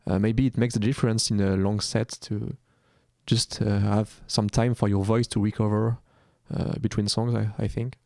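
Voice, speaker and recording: Gravelly, flat-voiced man speaking haltingly. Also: on the low side, no room sound, clean studio sound. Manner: halting, with many filler sounds.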